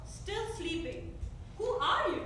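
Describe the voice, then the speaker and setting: A woman playing a bewildered middle-aged Bengali mother in a staged reading, halting and questioning. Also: very high-pitched, very animated, sharp tone, exaggerated voice. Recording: echoey theater, distant mic, faint noise.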